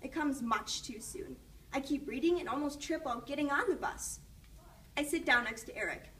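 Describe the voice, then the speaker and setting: A woman with a high voice reads a story to children, teacher-style. She is expressive and encouraging. The mic is somewhat distant and picks up faint background noise. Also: normal speed. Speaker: student teacher reading aloud to children. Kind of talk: children's storybook read-aloud with questions.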